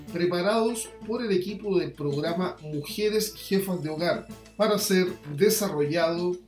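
A man reading scripted local news, in a roomy sound with soft guitar music behind. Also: fairly high pitch. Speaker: news presenter.

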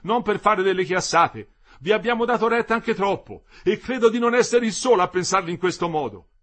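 Male narrator doing a fed-up striker, firm, protesting, raised pitch, husky edge, audiobook. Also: animated, a little fast, a bit bright. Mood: defiant. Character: stubborn, fed-up striker wanting to return to work. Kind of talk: dramatised audiobook reading.

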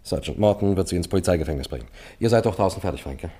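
An actor playing a calm, methodical police sergeant in a matter-of-fact, probing way in a German radio crime drama. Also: male voice, sits low, racing. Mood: businesslike, probing.

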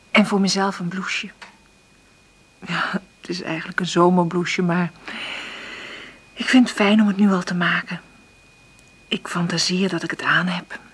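A woman playing a middle-aged, hard-up mother in a naturalistic radio drama, understated and hesitant, with a husky, breathy voice. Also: on the low side. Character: hard-up, dreamy single mother, gentle but stubbornly hopeful.

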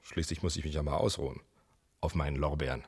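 A man's voice: extremely low pitch, steady tone, quick pace, husky, exaggerated voice. An actor playing an ambitious, self-assured male medical researcher in a German radio play, speaking in a wry, enthusiastic conversational way. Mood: elated, teasing.

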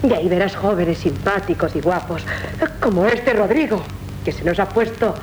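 An actress playing a chatty, teasing older nursemaid in a radio drama. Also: female voice, moderate pace, darkish tone, lightly acted. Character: cheeky, familiar older nursemaid of a noble lady. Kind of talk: 1970s radio drama acting. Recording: noisy 1970s radio recording with rumble.

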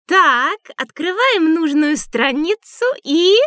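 An actress playing a lively, eager female character in scripted audiobook dialogue. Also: very high-pitched, takes it slowly, slight background noise, heavily acted.

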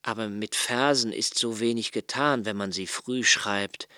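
An actor playing a solitary, brooding young poet of twenty-eight who narrates his own thoughts in a literary radio drama, in a slow, measured, contemplative way. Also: fairly low pitch, pushed and bright, no room sound, studio quality. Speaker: first-person narrator of a radio play.

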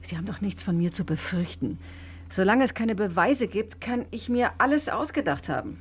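An actress playing an articulate, quietly insistent woman doctor in a radio drama, precise and questioning. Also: medium pitch, animated, moderate pace, slight background noise, natural voice. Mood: probing. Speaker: doctor accused in a criminal case. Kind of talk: German radio drama acting.

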